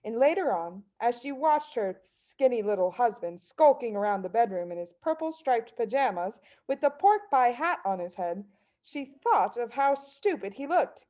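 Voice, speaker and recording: A woman narrating a children's book as story reader, in an expressive read-aloud style. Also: fairly high pitch, moderate pace.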